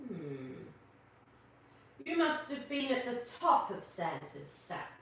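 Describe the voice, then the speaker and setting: A woman's voice: fairly high pitch, sing-song, slow pace, a bit bright, exaggerated voice. A woman voicing a wise, kindly male owl who leads the forest birds in a children's storybook, thoughtful and reassuring. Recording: distant, very echoey, faint noise.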